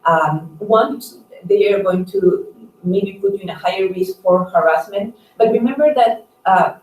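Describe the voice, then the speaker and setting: A woman doctor giving advice on a conference call, talking haltingly with "like" and "right?" dropped in often. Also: mid-range voice, a little mellow. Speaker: staff doctor. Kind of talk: advisory conference call talk. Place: echoey room on a conference call. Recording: roomy, distant mic, noisy conference call.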